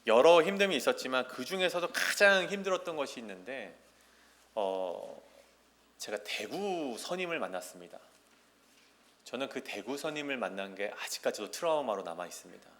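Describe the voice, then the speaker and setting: A man's voice: medium pitch, lively intonation, normal speed, fairly bright tone. A man in his thirties from South Korea, a pastor giving a sermon with personal storytelling in a church. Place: large church sanctuary. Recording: echoing church hall with long reverb.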